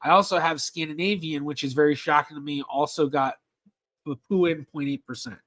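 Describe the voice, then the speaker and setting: A man's voice: a little high, steady tone, a bit bright. Chatty man answering genealogy questions. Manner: halting, many ums and restarts, reads questions aloud. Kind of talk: casual livestream Q&A.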